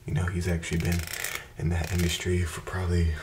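A young man in his twenties doing an ASMR barber roleplay, talking softly and slipping into whispers, with calm, repetitive, soothing chatter into a close microphone. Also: low-pitched, a little fast, heavy background noise. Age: 20s. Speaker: ASMR creator playing a barber. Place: bright indoor room with plants. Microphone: close desk microphone in front of him.

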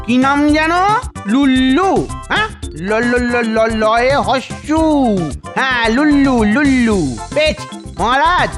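Woman voicing a fussy, high-pitched underworld clerk. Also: expressive, takes it slowly, over music. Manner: muttering, stammering, repetitive. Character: fussy, dithering male record-keeper of the dead. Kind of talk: cartoon voice acting.

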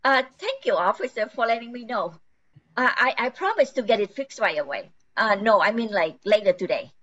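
Dull sounding audio, older lady acting a flustered pleading housewife in a radio play, high voice, super animated. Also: brisk. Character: frantic, forgetful housewife, anxious and pleading.

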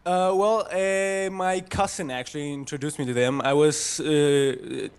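Brief: young Austrian fan, German accent, slightly high voice, hesitant, video call. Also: male voice, fairly even intonation, unhurried, smooth. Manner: hesitant, many fillers, laughs shyly. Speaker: caller, fan.

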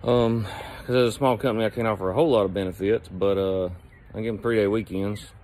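A man talks into a phone held at arm's length, outdoors by a brick building. His voice sits a bit low, and his delivery is relaxed and rambling, full of fillers. Faint outdoor sounds and the odd bird call sit behind him. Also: fast, slight background noise. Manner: easygoing, rambling talk with fillers. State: sore back from heavy lifting. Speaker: plumbing company owner. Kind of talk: casual selfie vlog.

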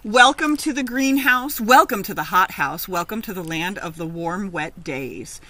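Middle aged lady doing a kids book read-aloud outside, bright clear-cut voice, kinda sing-song. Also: medium pitch, lively intonation, measured pace. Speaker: teacher reading a picture book aloud. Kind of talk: expressive children's storybook read-aloud. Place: outdoors in a leafy garden.

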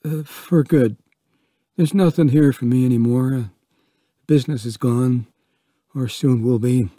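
A man's voice: medium pitch, dark tone, dry recording, clean studio sound, close to an everyday voice. A man voicing a weary, hesitant middle-aged man who sounds pleading, in an audiobook reading. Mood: wistful. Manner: hesitant, rushed. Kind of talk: audiobook character voice.

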